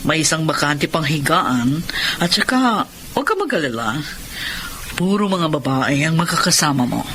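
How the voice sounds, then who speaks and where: A woman's voice: low-pitched, sing-song, normal speed, fairly bright tone, slight background noise. A woman playing a kindly, authoritative married lady lawyer in an audio drama, reassuring and inquisitive, motherly but firm. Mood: friendly, businesslike. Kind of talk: Tagalog radio-style drama acting.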